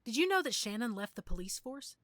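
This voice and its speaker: Composed motherly pack leader with lively intonation. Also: a little high, fairly quick, no room sound, clean studio sound. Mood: concerned. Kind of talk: audio drama acting.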